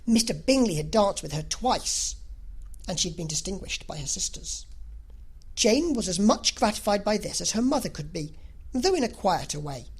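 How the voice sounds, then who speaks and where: A woman reading a literary novel aloud as an audiobook narrator, in an expressive, scripted style. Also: medium pitch, normal speed, husky, low-quality recording.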